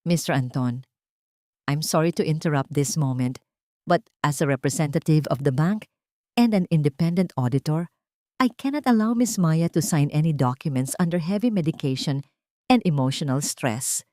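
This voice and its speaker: Audio-story role read by a woman: protective male auditor, formal, steady, low pitch, dry recording. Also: moderate pace, no echo. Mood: firm. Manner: firm, formal, authoritative.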